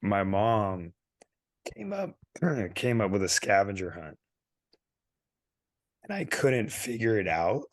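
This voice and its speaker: A man in his thirties with a low, deep voice tells long, animated stories on a casual podcast. His voice is wearing thin and he keeps clearing his throat. The sound is close and dry. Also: slow pace, long pauses, no room sound. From California. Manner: rambling, animated storyteller. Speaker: podcast co-host.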